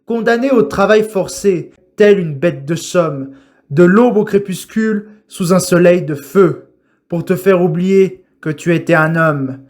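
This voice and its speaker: A man reciting a poem in a solemn, dramatic style, with declamatory, measured phrasing and deliberate pauses. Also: high-pitched, darkish tone. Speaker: poetry reciter.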